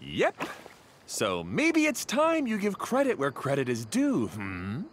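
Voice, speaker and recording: A man's voice: fairly high pitch, huge pitch swings, slow, slight background noise, exaggerated voice. An actor playing an irritable, sarcastic young man quarrelling with a co-worker in a video game. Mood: accusing. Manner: gruff, exasperated, sarcastic.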